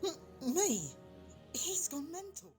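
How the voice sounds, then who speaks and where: A woman's voice: very high-pitched, sing-song, takes it slowly, music in the background, full character voice. A woman playing a scared, indignant teenage boy in an audio drama. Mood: alarmed, defensive.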